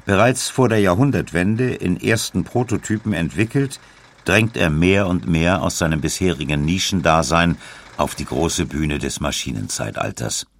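A man narrating a scripted documentary in a measured, precise way. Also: low voice, lively intonation.

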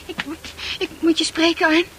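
A woman's voice: very high voice, little pitch movement, normal speed, noisy background. An actress in a Dutch radio play playing an anxious, urgently pleading woman.

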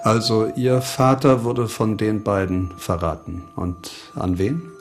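An actor playing a weary, terse investigator who asks probing questions in a German radio crime drama. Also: male voice, on the low side, steady tone, slow. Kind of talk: German radio play acting. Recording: music under the voice.

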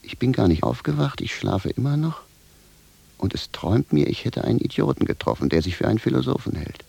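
An actor in a radio play playing a gruff, stubborn shoemaker, blunt and grumbling in his way of talking. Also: medium pitch, sing-song, a little fast, dark tone, no room sound, heavily acted. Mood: bewildered, sardonic. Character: gruff, stubborn, exasperated naked shoemaker raised from the dead. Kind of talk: German radio play acting.

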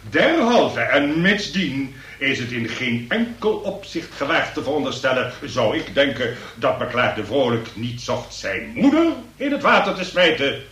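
A man's voice: medium pitch, big pitch swings, a little slow, hardly any pauses, fairly bright tone. A man acting a pompous, long-winded examining magistrate in a comic radio play, speaking in ornate legal phrases. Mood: pontificating. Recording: noisy, echoey room, distant from mic.

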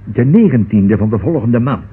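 A man's voice: mid-range voice, lively intonation, normal speed, husky. A man acting a fussy, nervous, forgetful solicitor in a radio play, with hesitant, exclamatory speech. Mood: earnest. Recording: muffled, band-limited old radio recording.

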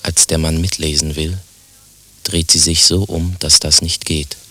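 Radio play narrator guy, super deep n husky, deadpan, totally flat stage directions. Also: measured pace, full character voice.

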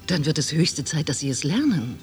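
A pushy radio ad announcer woman, low-pitched yet lively in her swings. Guitar music plays behind her. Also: normal speed. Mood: selling. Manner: persuasive salesy. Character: persuasive female radio ad announcer. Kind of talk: radio ad in a radio play.

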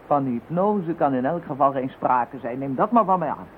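A confident psychic witch in an old radio play, her lively voice rising and falling as she speaks with firm certainty. The sound is thin and tinny, with faint hiss under it. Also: rumbling low, normal speed. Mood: assured. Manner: confident, matter-of-fact, frantic when alarmed. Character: shrewd self-assured woman psychic called a witch. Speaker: psychic adviser. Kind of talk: radio drama acting.

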